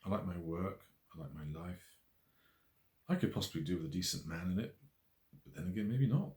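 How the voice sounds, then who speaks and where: A man's voice: low-pitched, expressive, a little fast, a little mellow. Man reading as an introspective, self-doubting woman, echoey room. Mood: pensive, doubting. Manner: musing, questioning herself. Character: introspective, self-doubting single woman, fond of her cat. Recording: roomy echo.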